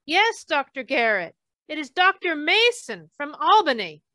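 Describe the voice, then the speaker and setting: A woman's voice: sits high, sing-song, a little slow, pushed and bright, no room sound, exaggerated voice. A woman playing a polite, efficient young hospital switchboard operator in an old-time radio drama. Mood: polite, businesslike.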